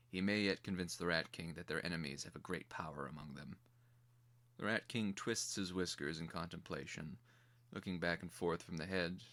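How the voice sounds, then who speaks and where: Casting: male narrator for fantasy audio drama, very low voice with a forceful edge, measured and theatrical.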